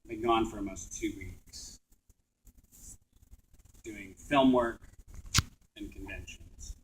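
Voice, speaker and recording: A man's voice: on the low side, takes it slowly, slight background noise, rough recording. A middle-aged man, a voice actor guest, chatting at a convention panel in a joking, self-mocking way and laughing often.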